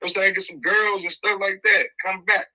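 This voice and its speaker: Forceful, bright-voiced D.C. man telling stories. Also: high-pitched, normal speed, highs cut off. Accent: Washington, D.C. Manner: animated, slangy, repeats phrases for emphasis. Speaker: podcast host. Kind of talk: casual first-person storytelling.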